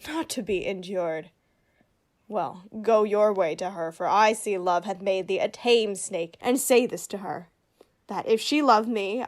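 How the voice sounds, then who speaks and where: A woman acting a witty young noblewoman disguised as a male youth in a Shakespearean radio play, with brisk, teasing, theatrical delivery. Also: mid-range voice, lightly acted. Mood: commanding.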